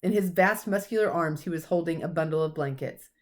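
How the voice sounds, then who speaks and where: A woman with a fairly low voice reads a children's storybook aloud. She narrates expressively, as a teacher does for young listeners. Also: brisk.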